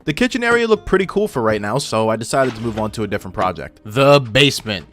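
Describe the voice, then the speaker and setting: A man's voice: mid-range voice, very animated, fast talker, a bit bright. A young man with a filtered voice hosting a gaming video, narrating his play in an informal, self-deprecating way. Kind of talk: casual scripted gameplay narration. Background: background music.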